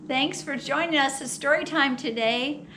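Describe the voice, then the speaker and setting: A woman reading a children's picture book aloud as a storytime host, expressive and theatrical with drawn-out words. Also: high-pitched, slight background noise. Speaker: storytime host at a public library.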